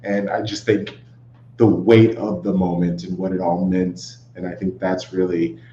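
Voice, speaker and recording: Guy with lowish voice talking sports fandom, keeps restarting, echoey room with some hum behind. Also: little pitch movement, a little slow, dark tone. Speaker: podcast guest and co-host of a fan podcast. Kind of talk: casual sports fan chat. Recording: roomy, dull audio with clear hum.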